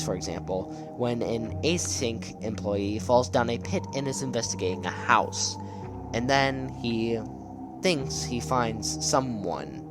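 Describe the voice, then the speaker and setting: A man giving casual scripted video commentary in a conversational, enthusiastic way. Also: mid-range voice. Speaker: video essay narrator. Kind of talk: casual scripted commentary on horror web series.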